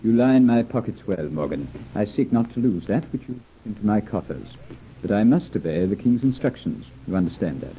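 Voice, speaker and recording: Corrupt colonial governor, pompous, formal, very animated pitch, tinny phone-like old radio recording. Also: male voice, a little fast, slight background noise. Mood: sly, conspiratorial. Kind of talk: old time radio drama acting.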